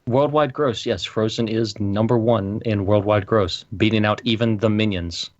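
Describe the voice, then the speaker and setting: A man with a fairly low voice, heard through slightly dull, lo-fi audio. He is a podcast co-host chatting casually about movies, with a dry, teasing way of putting things. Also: moderate pace. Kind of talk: casual movie discussion with friends.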